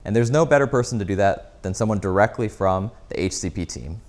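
A man in his thirties with a fairly low voice delivers a product keynote. He explains step by step and stresses his key phrases, speaking into a lapel mic. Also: expressive, a little fast. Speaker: company executive, keynote presenter. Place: indoor office lounge.

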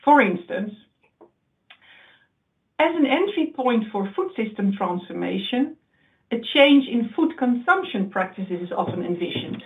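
A woman's voice: on the high side, big pitch swings. Woman presenting over tinny call audio. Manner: measured, explanatory, with occasional slips and restarts. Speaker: research presenter. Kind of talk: webinar slide presentation.

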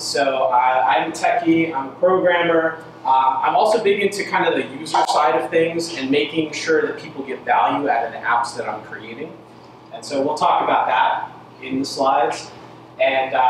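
Man presenting at a meetup, relaxed and joking, heard from across a big reverberant room with background noise. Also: mid-range voice. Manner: casual, joking, loose with fillers. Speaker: meetup speaker. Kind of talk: live tech presentation. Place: large indoor event space with audience. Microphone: handheld mic. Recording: echoey room, mic from far off, noisy.

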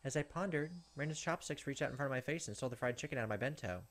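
A man's voice: medium pitch, racing, a bit bright. A man in his thirties hosting a gameplay video, expressively reading a visual novel's narration aloud.

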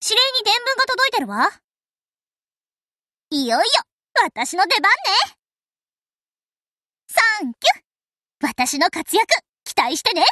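A woman's voice: very high-pitched, wildly swooping pitch, slow pace, pauses often, bright, forceful tone, dry recording, clean studio sound, exaggerated voice. An actress voicing a spirited, confident teenage warship girl in an anime game, with a perky, cheeky, self-assured delivery. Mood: cheerful, eager. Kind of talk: anime game character voice lines.

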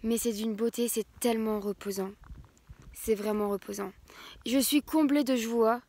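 Une jeune femme d'une vingtaine d'années qui raconte son road trip en vlog, bavarde et essoufflée après la montée, filmée au téléphone tenu à bout de bras. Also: female voice, a little high, fairly even intonation, normal speed, darkish tone, a little hiss or hum. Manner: chatty, breathless after climbing. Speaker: travel vlogger. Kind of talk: casual travel vlog. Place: outdoors on mountain trails and in a motel room. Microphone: phone held at arm's length.

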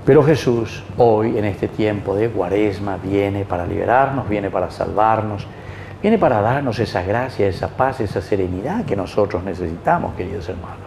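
Mellow Paraguayan priest in a roomy space. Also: male voice, lowish voice, moderate pace, vocal fry. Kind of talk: Gospel reading and homily. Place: small wood-panelled chapel, indoors. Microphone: lapel mic. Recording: roomy echo, faint background noise.

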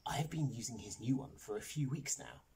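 A man voicing an earnest, embarrassed, chatty newsagent who confides in hushed tones, in a children's comedy audiobook. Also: mid-range voice, a little fast, husky. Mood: confiding.

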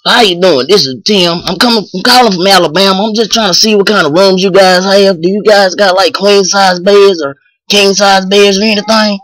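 A man's voice: high voice, brisk, a bit bright, dry recording. A young man voicing a brash, insistent rural bigot in a comic prank phone call. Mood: nosy, blunt. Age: young adult. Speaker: prank caller. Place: small room indoors, close to a webcam, holding a phone.

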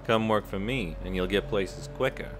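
A man acts out a shady middle-aged loan shark from a crime video game. His low voice runs through smooth, worldly patter, always selling something. Music plays behind him. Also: normal speed, natural voice. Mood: persuasive. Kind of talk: fan dub video game acting.

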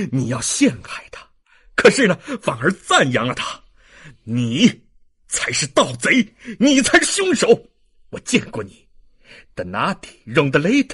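Man as a husky, indignant young nobleman. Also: fairly high pitch, heavily acted. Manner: forceful, emphatic, declamatory. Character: indignant, passionate young nobleman denouncing a villain. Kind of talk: audiobook character acting.

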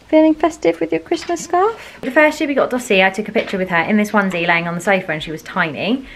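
A woman's voice: medium pitch, fairly bright tone. A young British woman chatting casually and informally to a handheld camera as she vlogs. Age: young adult. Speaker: vlogger. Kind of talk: casual vlog chat. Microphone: handheld camera at arm's length. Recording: noisy handheld vlog, room and car sounds.

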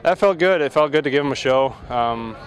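A man's voice: medium pitch, expressive, fairly quick, low-quality recording. A young man, a college football player, giving easygoing short postgame answers outdoors on a field. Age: young adult.

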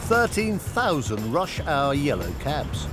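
Guy doing documentary voiceover, lively pitch, music under it. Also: takes it slowly. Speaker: documentary narrator. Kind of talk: scripted narration. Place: studio voice-over over music.

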